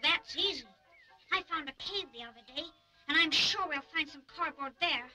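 A woman voicing a playful, timid little toy in a children's puppet adventure, childish and quick to protest. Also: high voice, sharp tone, soft background music. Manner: childish, protesting, stammers when scared.

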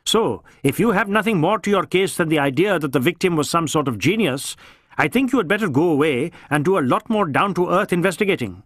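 A man's voice: fairly high pitch, expressive, fairly quick, fairly bright tone, dry recording, studio quality. A man voicing a stern, irascible senior Indian police officer in an audiobook, brusque and barking, with an Indian accent. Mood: angry, dismissive. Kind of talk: audiobook character voice.

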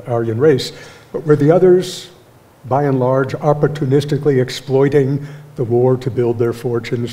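An older man moderating, asking a guest a measured, pointed question on stage. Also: medium pitch, warm tone. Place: stage in a lecture hall.

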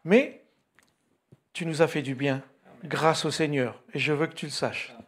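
An older man speaks to a church congregation in a jovial, joking way. His voice is husky and a little high. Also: fairly even intonation, measured pace. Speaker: service leader. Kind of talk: casual remarks to a congregation. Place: small church hall. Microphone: handheld mic.

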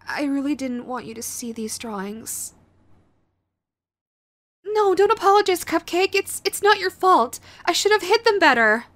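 A woman's voice: extremely high pitch, very animated, unhurried, long pauses, fairly bright tone, full character voice. A woman playing a young, sweet, clingy yandere girlfriend in an intimate audio roleplay, with a cutesy, hesitant manner. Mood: sad, hesitant. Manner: cutesy, hesitant, gushing pet names. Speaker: voice actress.

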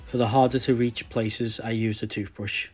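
A young man with a sore throat, quietly talking through how he customizes an action figure. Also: on the low side, little pitch movement, moderate pace, faint music behind. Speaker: toy customizer host. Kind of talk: casual tutorial narration.

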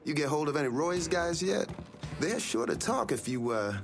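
A man's voice: a little high, sing-song, moderate pace, gravelly, music in the background. A man playing a wry, talkative smuggling informant in a video game, with a folksy, colloquial manner. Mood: wry, gossipy.

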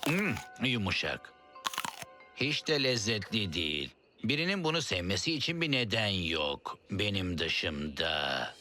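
A man's voice: low-pitched, very animated, unhurried. An actor voicing a gloomy, fussy male lord of rain clouds in a children's cartoon, glum and self-important. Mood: contrary, sour. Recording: loud music bed.

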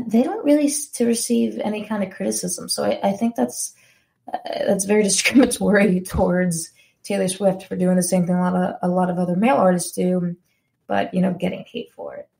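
Young woman, podcast host, casual chatty talk, gravelly fry, mellow dark tone. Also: medium pitch. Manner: chatty, enthusiastic, self-correcting.

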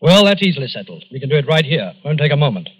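An actor playing a brisk, polite, businesslike police inspector in 1940s radio style. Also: male voice, fairly high pitch, very animated. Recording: slightly noisy old radio recording.

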